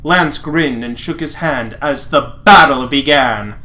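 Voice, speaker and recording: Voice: medium pitch, takes it slowly, bright, forceful tone. A sarcastic, exasperated reader narrating fan fiction dramatically and breaking off for mocking asides.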